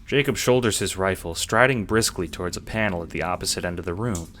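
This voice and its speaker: A man narrates an audiobook-style drama in a deep, low voice. His delivery is scripted storytelling addressed to the listener, over faint noise and ambience. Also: quick pace, a bit bright.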